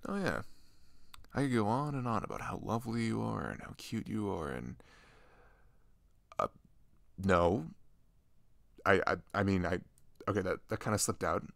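A man playing a shy, sweet young best friend in an ASMR roleplay, talking gently and hesitantly, close to the mic. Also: lowish voice, expressive, moderate pace, creaky, pauses often, no room sound. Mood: flustered. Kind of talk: ASMR roleplay, soft intimate comforting talk. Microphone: close microphone.

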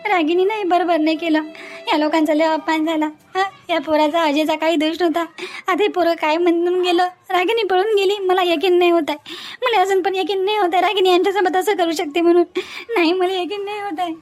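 Very high, pleading distressed mother played by a woman. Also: steady tone, a little fast. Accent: Varhadi Marathi, Vidarbha. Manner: pleading, lamenting, repetitive. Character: runaway bride's distressed, apologetic mother, pleading and disbelieving. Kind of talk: cartoon family drama acting. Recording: faint music behind the voice. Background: faint music.

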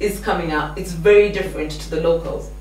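A young woman hosting a casual talk show in a small studio, playful and quick to laugh. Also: fairly low pitch. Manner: playful, laughs often, animated questioning. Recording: echoey studio from far off, faint noise.

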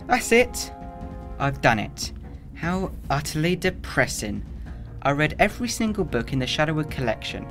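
A man's voice: on the high side, sing-song, normal speed, a bit bright, faint music behind. A man acting a lonely, regretful immortal in an audio drama, gloomy and understated. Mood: gloomy, bored.

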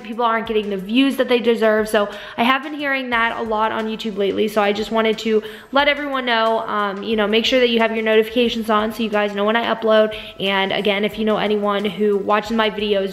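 A young woman in her twenties vlogging casually to her camera at home, a little hoarse from a cold, chatty with lots of "like" and "you guys". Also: on the high side, fairly bright tone. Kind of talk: casual vlog chat to camera. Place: living room at home, also in a car. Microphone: camera at arm's length.

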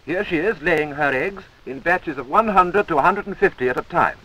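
A man's voice: fairly high pitch, moderate pace, fairly bright tone, rough recording. A British man narrating a wartime-era army training film in a wry, chummy manner, on a 1940s film soundtrack.

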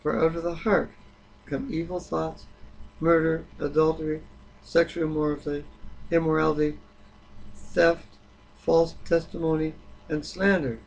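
A man reading the Bible aloud and discussing it informally, with a halting delivery that stumbles over words. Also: a little high, flat delivery, gravelly, highs cut off. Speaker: Bible reader.

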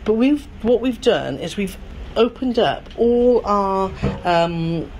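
A woman's voice: mid-range voice, lively intonation, slow pace, a little mellow, dull audio, a little hiss or hum. A middle-aged woman chatting casually as she tours a cruise cabin, often checking for agreement. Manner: chatty, seeks agreement with tag questions. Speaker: travel vlogger co-host. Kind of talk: casual tour chat. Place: cruise ship cabin, indoors.

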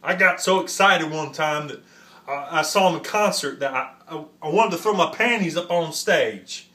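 A man's voice: a little high, normal speed, bright, forceful tone. A man impersonating an earnest, goofy American actor gushing dreamily about music.

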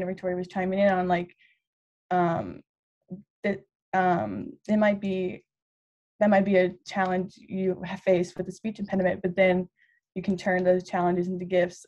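A woman's voice: medium pitch, darkish tone. A teenage girl on a video call panel, speaking earnestly with many fillers. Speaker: youth panelist. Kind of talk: casual panel talk.

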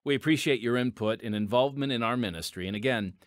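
An American man hosting a devotional podcast in scripted narration, with measured, emphatic, dramatic phrasing. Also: medium pitch, no echo, studio quality. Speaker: podcast host and preacher.

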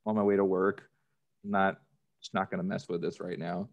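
A man's voice: low voice, level tone, brisk, lo-fi sound. A man in his thirties talking casually as a podcast guest over a video call. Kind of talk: casual chat. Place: plain indoor room, video call. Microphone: desk microphone with headphones on.

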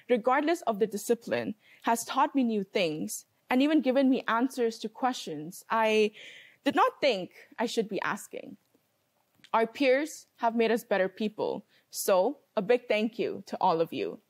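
A woman in her early twenties giving a prepared graduation speech as a student, reading in measured short phrases with pauses. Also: on the high side, sing-song. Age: early 20s. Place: large indoor hall with wooden floor and stone wall.

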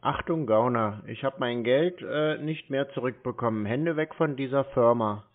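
A man's voice: mid-range voice, moderate pace. A man in a casual phone conversation, dry, laconic and skeptical. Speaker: scam-baiter taking a sales call. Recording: narrow phone-like sound.

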